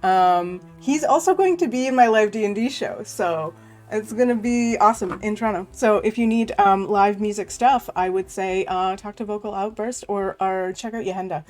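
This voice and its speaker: A woman's voice: medium pitch, normal speed, darkish tone, faint music behind. A woman running a tabletop game as game master, casual and laughing often with many ums while she recaps the story.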